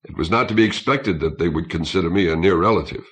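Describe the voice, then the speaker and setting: A man's voice: low voice, fast talker, lightly acted. A man voicing a reserved starship captain in his fifties for an audiobook, speaking formally and courteously.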